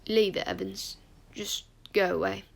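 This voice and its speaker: Woman voicing a surly, gravelly wounded teenage boy. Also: mid-range voice, little pitch movement, unhurried. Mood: sullen. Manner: curt, surly. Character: bitter, wounded, sullen teenage schoolboy. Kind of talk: acted audiobook dialogue.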